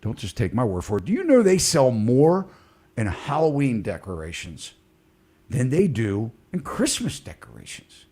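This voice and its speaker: Male host with a Baltimore accent, husky and animated, jokey and loose. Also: mid-range voice, normal speed. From Baltimore, USA. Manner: animated, joking, says "man" often. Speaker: real estate live stream host. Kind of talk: casual live stream chat.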